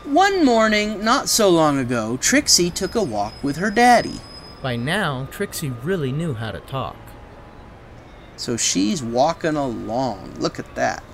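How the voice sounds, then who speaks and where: A woman reads a children's story aloud with a very lively, swinging pitch. She chats through casual asides and explains the pictures as she goes, with noticeable noise in the background. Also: unhurried.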